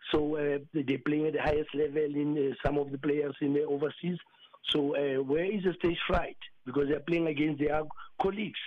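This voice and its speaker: A hoarse South African man with a husky voice speaks on a call, his tone slightly raised. He pushes his points hard but stumbles through fillers. The line sounds dull and dry. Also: fairly high pitch, little pitch movement, no room sound. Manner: emphatic, halting with many fillers. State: hoarse from celebrating. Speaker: football coach, guest. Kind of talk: phone-in radio interview.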